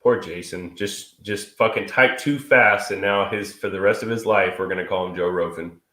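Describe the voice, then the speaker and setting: Sniffly man with a low voice, echoey room. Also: moderate pace, bright, forceful tone. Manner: crude, joking, swears freely. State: stuffy and sniffly from a cold. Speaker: co-host of a live stream. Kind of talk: casual chat.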